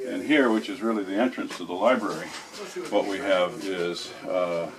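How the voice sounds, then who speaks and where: A man giving an informal guided tour as a library guide, explaining things methodically in a halting way. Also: low voice, fairly even intonation, a crowd in the background, poor audio.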